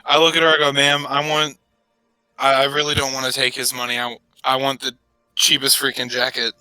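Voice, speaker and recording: A man's voice: mid-range voice, flat delivery, brisk, sharp tone, clear, resonant tone, dry recording, soft background music, low-quality recording. A man chatting casually as a player in a tabletop roleplaying game.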